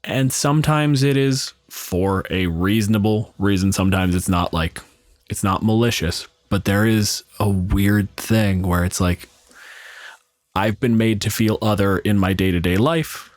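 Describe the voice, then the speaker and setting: A man's voice: lowish voice, measured pace, dry recording. A man on a discussion panel, explaining things in a frank, casual way. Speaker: podcast panelist.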